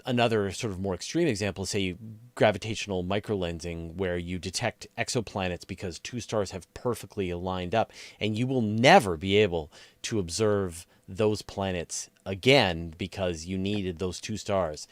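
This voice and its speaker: A man's voice: sits low, normal speed, no echo. A man hosting an interview, setting out examples and questions in a conversational style. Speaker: interviewer, podcast host.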